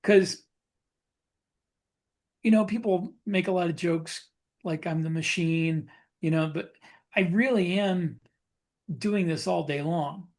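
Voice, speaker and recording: A man's voice: high-pitched, slow, long pauses. A man talking casually as a podcast host, informal and given to repeating points for emphasis.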